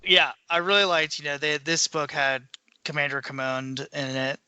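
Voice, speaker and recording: A man's voice: on the high side, little pitch movement, moderate pace, pushed and bright, clear, resonant tone, no room sound, highs cut off. A man co-hosting a podcast, talking informally in a casual fan discussion. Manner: informal, conversational. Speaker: podcast co-host.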